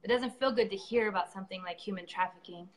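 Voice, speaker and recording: A woman's voice: medium pitch, quick pace, low-quality recording. A woman organizer speaking in a live-stream conversation, earnest and effusive, with lots of ums and restarts. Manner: earnest and effusive, with many fillers. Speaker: event organizer.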